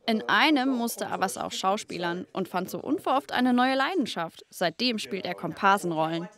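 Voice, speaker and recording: A woman's voice: mid-range voice, lively intonation, moderate pace, fairly bright tone. A woman narrating a light feature report with a friendly scripted read.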